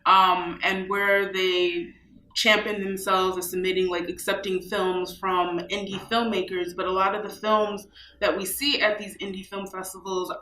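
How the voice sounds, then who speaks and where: A young woman from New York with a bright voice talks about her work in a casual interview. She speaks chattily and leans on fillers. The sound has the ring of an echoey room. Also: medium pitch, flat delivery, a little slow, slight background noise. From New York, USA. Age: young adult. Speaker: film festival co-founder.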